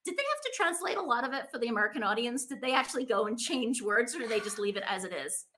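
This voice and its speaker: A woman's voice: on the high side, big pitch swings, fast, background noise. A woman hosting a casual conversational interview about books, chatting as a fellow author. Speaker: podcast host, author.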